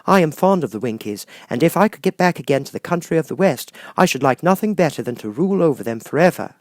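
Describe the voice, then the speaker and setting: A man's voice: a little high, expressive, fast, dry recording, clean studio sound, voice pushed up, natural voice. A man voicing a tender, sincere man made of tin in a storybook, earnest and fond.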